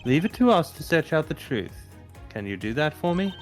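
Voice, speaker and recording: A man voicing a composed, formal investigator who questions patiently and in measured words, in a video game fan dub. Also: fairly high pitch, very animated, faint music behind. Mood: calm, reasoning.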